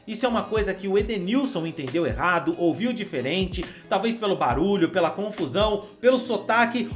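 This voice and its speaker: A man with a Brazilian accent hosting a football channel, giving his opinion in a casual, conversational way and addressing the viewer with slang. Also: high voice.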